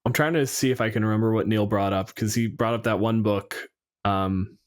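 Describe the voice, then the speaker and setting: A man's voice: fairly low pitch, steady tone, a little fast. A man co-hosting a podcast, chatting casually and unscripted about tabletop games, with wry asides and loose swearing. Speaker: podcast co-host.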